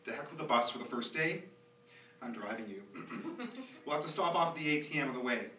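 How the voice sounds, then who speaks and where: Guy acting as a nervy rambling young dad, really animated, roomy far-off theatre recording. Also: medium pitch, fairly quick. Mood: resolved, sheepish. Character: anxious, chatty, nostalgic young dad. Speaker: author performing own monologue. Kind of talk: live theatrical monologue reading. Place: small theatre stage, recorded live from a distance. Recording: roomy, distant, noisy live theatre recording.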